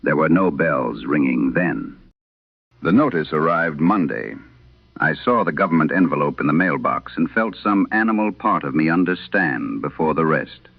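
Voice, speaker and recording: A man narrating as a troubled wife reading her haunted letter in a vintage horror radio drama, solemn and measured. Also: rumbling low, animated, full character voice. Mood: somber, uneasy. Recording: dull lo-fi vintage radio sound.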